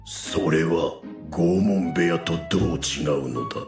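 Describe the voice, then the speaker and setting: A grave inhuman guardian from anime audio drama, stern and formal. The voice is very deep, with soft music beneath it. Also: male voice, slow. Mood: stern, puzzled.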